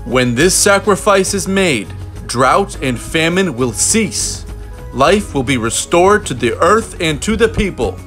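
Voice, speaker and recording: Solemn shaman voiced by a man, proclaiming gravely. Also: fairly high pitch, expressive, slow pace, a bit bright, voice pushed up. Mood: grave, stern. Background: music.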